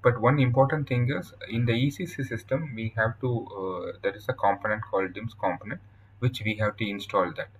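A man with an Indian accent presenting a software tutorial in a halting, explanatory way over slides. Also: fairly low pitch, fairly even intonation, slight background noise, low-quality recording. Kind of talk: informal scripted-outline tutorial narration over slides.

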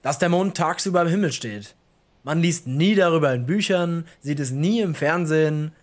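A man's voice: on the high side, moderate pace, voice pushed up, natural voice. A man voicing an uneasy, curious everyman in an audio horror story, chatty and hesitant. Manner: hesitant, questioning.

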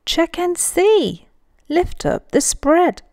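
Woman voicing a high, singsong mother llama. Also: big pitch swings, very slow, darkish tone, no room sound, studio quality, full character voice. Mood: encouraging. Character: mother llama, brisk, instructive parent in a storybook.

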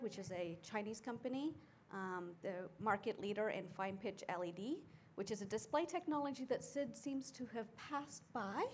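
A middle-aged woman talks about her career on a conference panel, seated, wearing a lapel mic. Her speech is conversational, full of ums and small restarts. A faint ambient hum sits underneath. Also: medium pitch. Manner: conversational, frequent filler ums. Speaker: tech executive panelist. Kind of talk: panel discussion. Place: conference stage seated in armchair.

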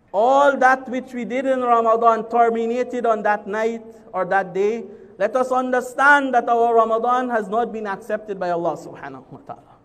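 A man in his thirties preaches from a pulpit in a spacious mosque hall, his voice pitched very high. He repeats key phrases and glosses each Arabic word in English. A long reverb trails behind every sentence. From Guyana. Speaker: imam giving a Friday sermon. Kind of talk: sermon. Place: large mosque hall with a crowd seated on carpets.

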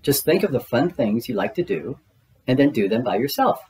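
A man voicing a kind, reassuring young boy in a gentle, encouraging manner. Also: medium pitch, sing-song, normal speed, close to an everyday voice.

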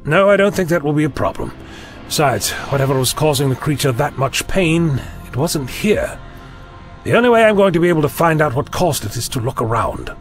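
Breezy, fussy gentleman explorer played by a man, chatty, animated intonation, sci-fi audio drama. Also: on the high side, fairly quick, faint music behind, lightly acted. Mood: curious. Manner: musing, chatty, whimsical. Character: curious, breezy, slightly fussy male time-travelling adventurer.

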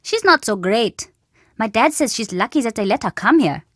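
Mischievous little boy for a video game: chatty, cheeky, animated delivery, dry close sound. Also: a little high, normal speed, dry recording. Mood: resentful. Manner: cheeky, dramatic, childlike bluster. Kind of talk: video game character dialogue.

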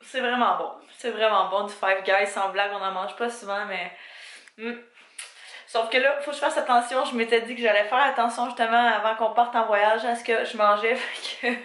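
A woman's voice: fairly high pitch, normal speed, echoey room. A young woman in her twenties with a Quebec French accent, chatting casually to a handheld camera at home and in her car. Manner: chatty, relaxed, fillers like "fait que". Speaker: vlogger. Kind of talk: casual vlog chat. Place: home kitchen and parked car. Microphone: handheld camera at arm's length.

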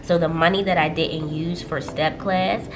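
A woman's voice: low-pitched, steady tone, normal speed, background noise. A woman with a stuffy cold voice, chatting casually and laughing often as she works through her budget planner on camera. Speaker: budgeting vlogger. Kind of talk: casual chat while working in a planner. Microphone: camera overhead on a desk.

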